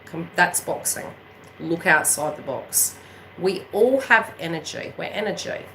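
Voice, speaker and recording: She is a New Zealand woman with a lowish, forceful, bright-edged voice. She talks off the cuff like a spiritual coach on her own channel, emphatic and meandering, often tossing in little check-in questions. A faint noise sits under the recording. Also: lively intonation, measured pace, rough recording. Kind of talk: casual unscripted chat to viewers.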